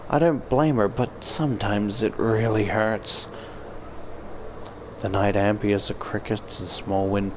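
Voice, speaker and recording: A man with a fairly low voice reads a visual novel out loud. He mixes the narration with joking, swearing commentary of his own. There is a faint layer of noise behind him. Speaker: video creator reading a game aloud.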